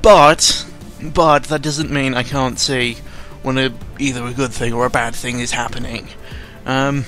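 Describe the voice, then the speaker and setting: A young man talks off the cuff in a mid-pitched voice, with music playing behind him. He rambles and stumbles, cutting himself off mid-thought. His delivery is dry, sarcastic and self-deprecating, with an exasperated edge. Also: fairly even intonation, sharp tone. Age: young adult. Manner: rambling, halting, full of self-interruptions. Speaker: YouTuber recording an audio log. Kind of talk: casual unscripted news commentary. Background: background music.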